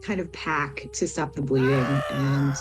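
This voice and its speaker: A woman's voice: a bass voice, fairly even intonation, measured pace. A woman casually describing what her character does as a player in a tabletop roleplaying game.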